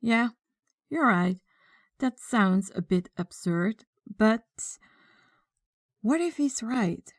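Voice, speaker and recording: Woman acting a slow-spoken, commanding female mafia boss. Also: a little high, animated, no room sound, clean studio sound, exaggerated voice. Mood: calm, controlled. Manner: slow, deliberate, authoritative. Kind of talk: ASMR audio roleplay acting.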